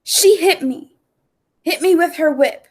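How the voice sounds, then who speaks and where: Woman acting a high-pitched indignant circus starlet. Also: slow pace. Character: indignant young woman circus star with a dancing elephant. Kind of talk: 1940s radio acting.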